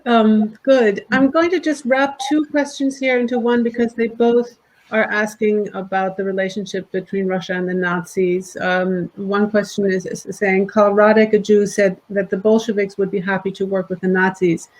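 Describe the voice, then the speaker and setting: A woman's voice: medium pitch, fairly even intonation, normal speed, poor audio. A woman moderating a book talk, politely reading audience questions aloud to the guest.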